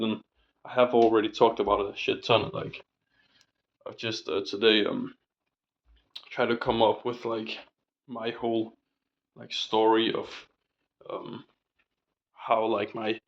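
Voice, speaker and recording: A man's voice: lowish voice, creaky, muffled recording. A young man rambling casually in a diary vlog, full of fillers and casual swearing, his voice still recovering from a sore throat. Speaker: vlogger.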